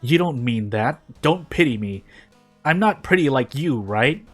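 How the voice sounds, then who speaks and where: A man's voice: medium pitch, lively intonation, a little slow, soft background music. A man voicing a bitter, wounded young witch girl in a storybook reading, accusing and impassioned.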